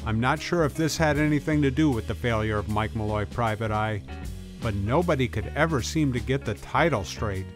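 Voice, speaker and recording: A man's voice: medium pitch, moderate pace. A man reading scripted documentary narration in an informal, folksy way. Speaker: video essayist, narrator. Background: music bed with guitar.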